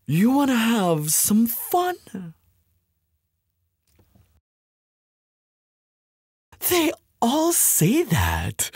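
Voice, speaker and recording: A woman playing a smug, cruel mercenary kidnapper in an audio roleplay, mocking and taunting in a breathy, husky voice. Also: on the high side, darkish tone, no room sound, clean studio sound, heavily acted. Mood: menacing, mocking. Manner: mocking, drawling.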